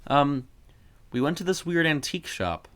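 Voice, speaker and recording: A man voicing a grieving, wistful sister in an audio story, speaking in a hesitant, halting way. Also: on the high side, very animated, fairly bright tone, smooth, voice pushed up. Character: grieving young woman, wistful sister reminiscing.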